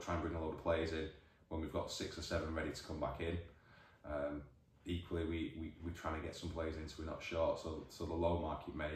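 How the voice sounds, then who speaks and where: A man in his forties with a Northern English accent, a football manager giving a measured, thoughtful post-match interview, recorded by a distant camera in an empty changing room. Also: extremely low pitch, a little hiss or hum. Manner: measured, thoughtful, many restarts. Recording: echoey room, voice from far off.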